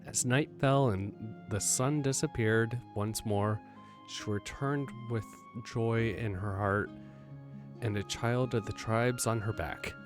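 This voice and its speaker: Guy streaming a game, reads stuff out slowly, casual remarks, faint music. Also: lowish voice, steady tone. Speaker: game livestreamer. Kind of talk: reading story text aloud and casually commenting.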